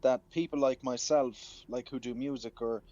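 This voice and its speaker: A man's voice: medium pitch, steady tone, moderate pace, mellow, dry recording. A man with an Irish accent chatting casually as a musician guest interviewed over the telephone. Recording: dull lo-fi phone line.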